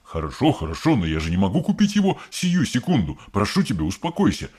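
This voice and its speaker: A man voicing a put-upon, fussy middle-aged father in an audiobook, with a husky, creaky voice. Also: lowish voice, wildly swooping pitch, fast talker, darkish tone, exaggerated voice. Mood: placating. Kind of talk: audiobook character voice.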